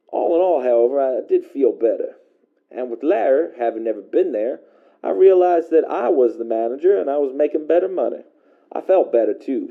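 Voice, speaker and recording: An actor voices a weary, working-class man phoning in to an eerie radio drama. His voice is middling in pitch with a heavy gravelly fry, and he tells his tale in a colloquial, hesitant way. The sound is narrow and tinny, like a call coming over the radio. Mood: bewildered, then relieved, reflective. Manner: folksy, colloquial, halting, hesitant delivery. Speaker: caller on a fictional radio call-in show. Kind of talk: first-person storytelling monologue, audio drama.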